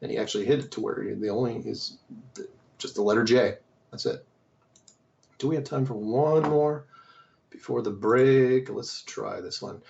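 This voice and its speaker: A man hosting a show who reads and answers listener mail in a casual, rambling way, his voice slightly hoarse and strained, asking many rhetorical questions. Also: mid-range voice, moderate pace, long pauses, darkish tone, muffled recording. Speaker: podcast host reading listener mail.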